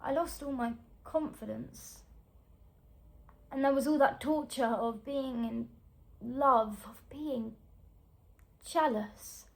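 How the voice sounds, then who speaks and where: Casting: woman to play young female actress, weary and wounded but striving, naturalistic drama monologue. Higher register with some fry, halting delivery full of pauses. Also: exaggerated voice. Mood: anguished, bitter. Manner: halting, broken phrases with long pauses. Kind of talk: naturalistic screen-acting stage monologue.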